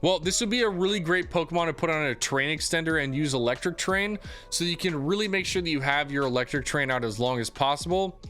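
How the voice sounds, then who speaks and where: A young man, a gaming YouTuber, giving a casual game guide in an upbeat, chatty way with lots of fillers, his voice slightly hoarse. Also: on the high side, quick pace, hardly any pauses, bright, forceful tone, soft background music.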